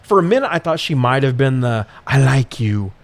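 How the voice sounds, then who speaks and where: A man's voice: mid-range voice, very animated, normal speed. Laughing, profane man recapping a film. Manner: profane, irreverent, often laughing through sentences. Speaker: podcast co-host recapping films. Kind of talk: casual comic film recap chat.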